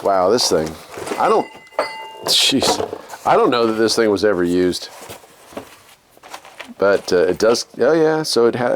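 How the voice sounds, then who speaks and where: A man's voice: fairly low pitch, background noise. An American man hosting a casual unboxing and demonstration, talking in a folksy, conversational way as he thinks aloud. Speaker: video host.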